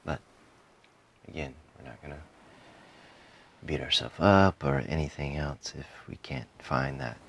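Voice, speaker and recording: A man guiding a meditation in a calm, soothing manner, giving soft instructions in short phrases with frequent pauses. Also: a bass voice. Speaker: meditation guide. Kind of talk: guided meditation, softly spoken instruction.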